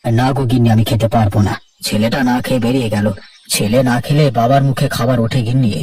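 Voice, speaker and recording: A man's voice: medium pitch, steady tone, fast talker, darkish tone, slightly rough, far from the mic, lightly acted. A man voicing an aging farmer father in a cartoon, resigned, caring and sorrowful, speaking with weary earnestness. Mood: sad, resigned. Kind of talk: cartoon dialogue acting.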